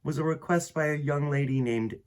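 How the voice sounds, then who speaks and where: A man with a husky voice reading a picture book aloud to children in an expressive way, with dramatic pauses and emphasis. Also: fairly high pitch. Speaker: children's storytime host and reader.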